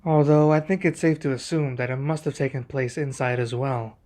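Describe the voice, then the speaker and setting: A man playing a young king and protective husband in an ASMR roleplay, speaking in a formal, measured, deliberate way. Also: mid-range voice, fairly even intonation, dark tone, close to an everyday voice. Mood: pensive, measured.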